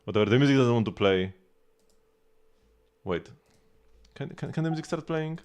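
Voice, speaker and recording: A man casually commentating on a game livestream, thinking aloud haltingly and repeating words as he goes. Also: mid-range voice, lively intonation, darkish tone. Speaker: live streamer.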